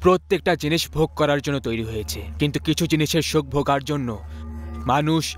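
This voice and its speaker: A man narrating a scripted moral story as a storyteller, in a measured, didactic way. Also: fairly high pitch. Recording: music behind the voice.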